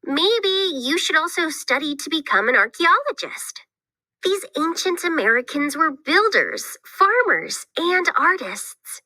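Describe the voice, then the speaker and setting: A woman's voice: very high-pitched, very animated, measured pace, pushed and bright, no room sound, voice pushed up, heavily acted. A woman voicing an eager, knowledgeable young girl explorer in a scripted read-aloud for children, explaining things with enthusiasm. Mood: wondering, upbeat.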